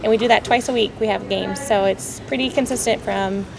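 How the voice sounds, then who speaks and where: A woman's voice: medium pitch, fairly even intonation, gravelly, noisy background. A woman guest from a community club talking casually in an interview, often using "um" and "like.". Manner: quick, with "um"s and "like"s.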